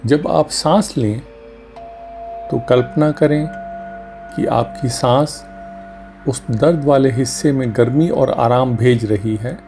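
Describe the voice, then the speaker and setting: A man leading a guided meditation as a doctor, speaking gently and calmly in short phrases with long pauses. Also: mid-range voice. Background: music under the voice.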